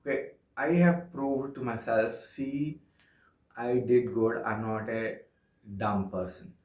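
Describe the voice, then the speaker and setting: Young Telugu-accented man, sincere and halting, mid-pitch, roomy echo. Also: steady tone, a little mellow. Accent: Telugu-accented English. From Andhra Pradesh, India. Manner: earnest, halting, frequent "okay" fillers. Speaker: vlogger. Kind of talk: personal vlog monologue. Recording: echoey room.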